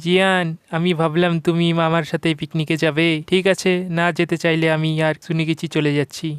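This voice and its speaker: A woman's voice: low-pitched, little pitch movement, a little fast, smooth, no room sound, clean studio sound, close to an everyday voice. A woman voicing a smug, boastful rich schoolboy in a cartoon dub, showing off and taunting with spite.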